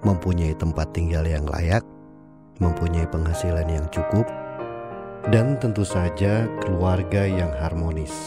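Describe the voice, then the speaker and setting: A man narrating an audio drama in a measured storytelling manner. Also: low voice, fairly even intonation. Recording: music underneath.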